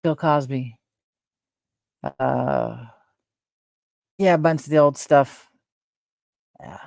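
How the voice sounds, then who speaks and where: A middle-aged woman talks in a soft, gentle voice, hosting a loose, meandering chat. She drifts from thought to thought, trailing off and correcting herself with plenty of fillers. The sound is dry and close, with no room around it. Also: rumbling low, extremely slow, creaky, no echo. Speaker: livestream host. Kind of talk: rambling casual chat.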